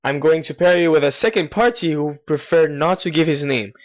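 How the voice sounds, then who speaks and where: A man's voice: fairly high pitch, brisk. A man hosting a community radio show in a scripted presenting style.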